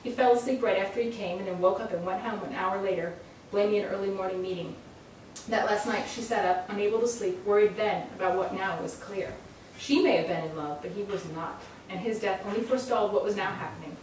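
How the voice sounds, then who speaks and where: A woman's voice: mid-range voice, brisk, a little mellow. A woman reading a literary short story aloud at a live salon event. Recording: very echoey room, distant mic, faint noise.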